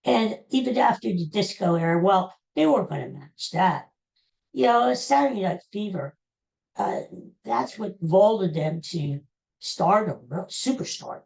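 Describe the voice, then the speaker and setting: Gravelly-voiced chatty woman in her sixties. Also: medium pitch, lively intonation, unhurried, darkish tone. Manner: rambling, chatty, addresses listeners directly. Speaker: podcast host. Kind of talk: casual solo podcast monologue.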